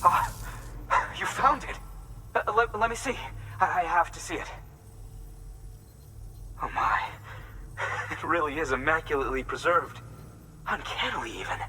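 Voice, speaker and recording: An actor playing an excited, bookish scientist in a video game, speaking in eager, formal, precise words. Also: male voice, fairly high pitch, measured pace, long pauses, slight background noise, exaggerated voice, rough recording. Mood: awed excitement. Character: excited, bookish male scientist studying fossils and extinctions. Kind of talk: video game cutscene acting.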